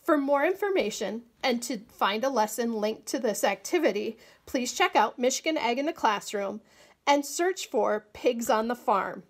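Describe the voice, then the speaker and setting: A woman educator giving a clear, patient, step-by-step craft demonstration at a table indoors. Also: fairly high pitch, expressive, moderate pace. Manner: clear, patient instructional delivery. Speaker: agriculture educator. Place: small indoor room, table-top demo.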